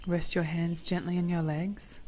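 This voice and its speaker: A woman leading a guided body scan meditation in a gentle, soothing, breathy voice that drifts toward a whisper. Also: lowish voice, flat delivery, moderate pace, slight background noise.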